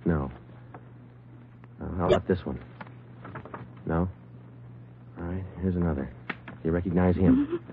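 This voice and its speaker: A man playing a terse, steady police sergeant in an old radio crime drama, clipped and deadpan. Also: low-pitched, measured pace, pauses often, background noise, heavily acted. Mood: patient, steady. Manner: clipped, matter-of-fact, deadpan. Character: terse, steady police sergeant detective narrating his case. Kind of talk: 1950s radio crime drama acting and narration. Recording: muffled old radio recording with music.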